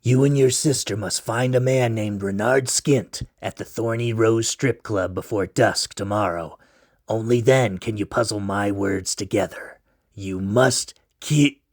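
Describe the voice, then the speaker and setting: A man voicing a mysterious winged stranger who speaks low, hushed and slow in a storybook reading. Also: no echo, studio quality. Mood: ominous. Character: mysterious winged male stranger, calm and ominous.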